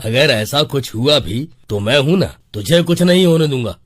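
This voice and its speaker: An actor playing a protective, earnest elder brother in a cartoon story. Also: male voice, mid-range voice, animated, moderate pace, dry recording, clean studio sound, natural voice. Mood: reassuring. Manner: reassuring, earnest.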